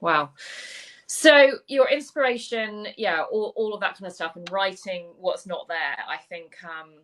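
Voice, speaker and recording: A woman's voice: medium pitch, a little slow, a bit bright, poor audio. A woman hosting a casual video interview with an author, enthusiastic and effusive. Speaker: host.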